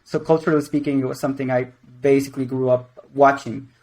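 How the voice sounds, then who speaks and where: A man's voice: mid-range voice, level tone, fast, a little mellow, poor audio. A man in his twenties from Venezuela and Mexico, a game creative director answering interview questions in a rambling, casual way. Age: 20s. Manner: rambling, many restarts and fillers.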